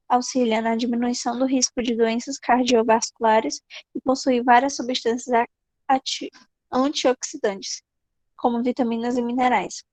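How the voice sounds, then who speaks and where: A woman's voice: a little high, a little mellow, no echo. A female student with a Brazilian Portuguese accent reading a textbook passage aloud in an online class, careful and stumbling over long words. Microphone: video call.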